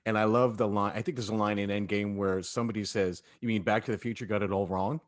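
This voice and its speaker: Low-voiced man hosting a chat. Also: fairly even intonation, quick pace. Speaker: host of a cast talkback. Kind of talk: casual panel discussion.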